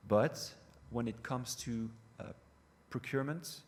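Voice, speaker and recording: A middle-aged man with a French accent, a non-native English speaker, giving a conference presentation in a halting way with many fillers and restarts. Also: mid-range voice. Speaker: panel speaker. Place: conference hall. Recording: reverberant hall with faint noise.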